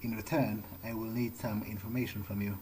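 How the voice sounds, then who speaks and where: A man's voice: fairly low pitch, flat delivery, fairly quick, background noise. Musing man roleplaying through a game. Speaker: let's player. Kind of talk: improvised in-character game roleplay.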